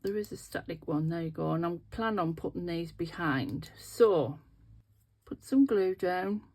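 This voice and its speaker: A woman's voice: fairly low pitch, a little slow, darkish tone. Sniffly, nasal woman crafting. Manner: chatty, thinking aloud while working with her hands. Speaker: resin craft video host. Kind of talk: casual craft tutorial talk.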